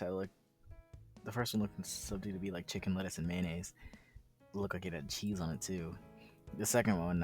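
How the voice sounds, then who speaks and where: A man reading a visual novel aloud in a casual way, breaking in with informal, sweary commentary. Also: low-pitched. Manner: informal, profane, interjecting.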